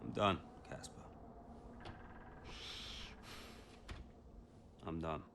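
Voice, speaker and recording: An actor playing a weary but proud retired racing driver in a video game, halting and subdued. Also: male voice, very deep voice, gravelly, noisy background. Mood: defeated.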